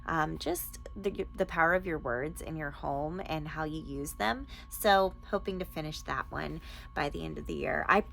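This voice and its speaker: Creaky-voiced woman chatting about her picks. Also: lowish voice, a bit bright, slight background noise. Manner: enthusiastic, informal. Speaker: book reviewer and podcast host. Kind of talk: casual chatty book recommendation vlog.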